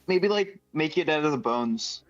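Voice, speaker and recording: Lively high-voiced man, slightly dull mic. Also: normal speed. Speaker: tabletop game player. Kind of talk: casual group game chat. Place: online voice call. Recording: dull lo-fi mic, faint music behind.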